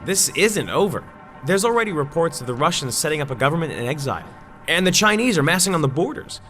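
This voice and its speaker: A man acting as an earnest war correspondent in a video game, speaking in a solemn, deliberate, weary yet hopeful way. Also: a little high, very animated. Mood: grave, hopeful. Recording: loud music under the voice.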